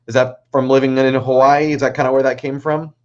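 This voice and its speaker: A man's voice: mid-range voice, flat delivery, fairly quick. A man hosting a casual livestream interview, asking questions and chatting conversationally. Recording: slightly dull, lo-fi livestream audio.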